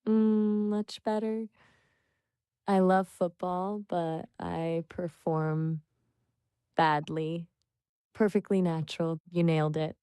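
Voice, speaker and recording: A woman's voice: on the low side, fairly even intonation, slow pace, pauses often, dark tone, dry recording. Dry-sounding, patient female English tutor. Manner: patient, praising, explains clearly. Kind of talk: encouraging language coaching.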